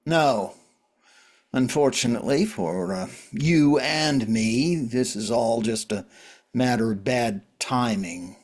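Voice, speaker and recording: A man playing a world-weary, wry survivor in a drama reading, talking in a halting, conversational way. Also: mid-range voice. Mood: calm, probing.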